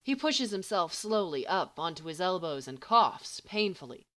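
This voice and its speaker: Low-pitched woman narrating a dramatic story. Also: animated, normal speed, fairly bright tone. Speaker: audiobook reader of fan fiction. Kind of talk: expressive scripted story narration.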